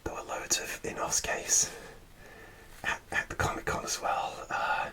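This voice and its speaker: Man, ASMR whisper, hushed breathy delivery, hesitant and rambling. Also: measured pace. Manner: hesitant, rambling with many fillers and restarts; soft, relaxed whisper throughout. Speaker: ASMR creator.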